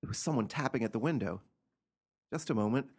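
A man's voice: medium pitch, fast talker, breathy edge, natural voice. An actor playing an imperious, irritable invalid man in a radio play, bossy and impatient with fear underneath. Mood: defiant, tense.

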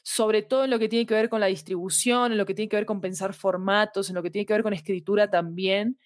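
A woman's voice: mid-range voice, fairly even intonation, fairly quick, no room sound, studio quality. A woman in a conversational discussion, speaking in an articulate, explanatory and emphatic way. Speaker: interview guest, fiction podcast specialist.

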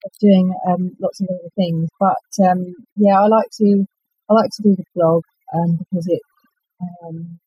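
A woman, an author chatting in an interview in a halting, self-effacing way. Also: on the low side, warm tone, muffled recording, slight background noise. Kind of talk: casual interview chat.

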